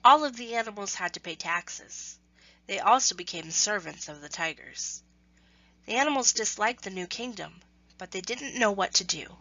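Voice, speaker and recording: A woman reads a children's story aloud in scripted narration, with expressive, deliberate phrasing. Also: medium pitch, no room sound, highs cut off.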